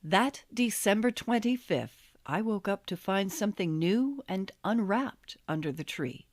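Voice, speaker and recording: An American woman reading her memoir as an audiobook, telling it in a wry, expressive storytelling manner. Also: on the low side, no echo, studio quality. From Los Angeles, USA. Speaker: author reading own memoir.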